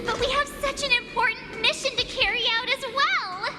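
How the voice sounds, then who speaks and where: A woman's voice: extremely high pitch, moderate pace. A woman playing a sweet, earnest and anxious teenage girl adventurer in a video game, a little hesitant. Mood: nervous. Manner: earnest, youthful, slightly hesitant. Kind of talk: video game character acting. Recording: long reverb, music behind.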